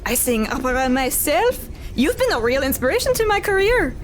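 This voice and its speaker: A woman's voice: high-pitched, very animated, normal speed. A woman playing a coquettish femme fatale opera singer, in an old-time radio comedy style. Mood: flirtatious, coy. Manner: coquettish, theatrical.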